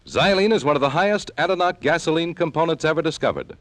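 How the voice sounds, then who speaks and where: A dry-sounding American male announcer reads an old-time radio advertisement. His voice is a bit high and very lively, with a polished, emphatic selling style. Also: fast talker, dry recording. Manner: polished, emphatic sales delivery. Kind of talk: vintage radio advertising read.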